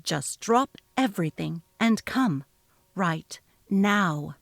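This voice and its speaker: A woman's voice: lowish voice, expressive, takes it slowly, gravelly, dry recording, clean studio sound, soft background music, heavily acted. A woman voicing a haughty, narcissistic female office manager who talks down to her staff, in a dramatically read story. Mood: cold, accusing. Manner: haughty, cutting, demanding. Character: snobby, imperious, narcissistic female office manager, cold and bullying. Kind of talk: dramatic reading of a text-message story.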